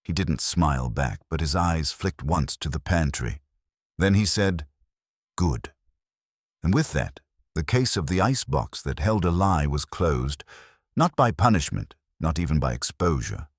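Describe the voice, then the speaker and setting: A man narrating a scripted first-person detective story in a wry, measured storytelling manner. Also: extremely low pitch, very animated, no echo, studio quality. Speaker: audiobook narrator.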